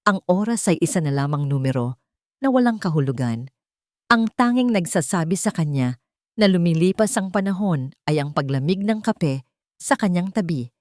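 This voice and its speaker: Female story narrator. Measured and dramatic, very varied melody, close dry sound. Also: lowish voice, darkish tone, no room sound.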